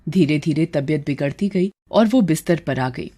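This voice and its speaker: A woman's voice: low-pitched, expressive, normal speed, background noise. A woman narrating a scripted story as storyteller.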